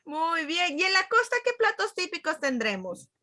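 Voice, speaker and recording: A woman's voice: extremely high pitch, big pitch swings, moderate pace, a crowd in the background. A woman with a Peruvian accent teaching a class conversationally, repeating key points and checking in with her listeners. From Peru.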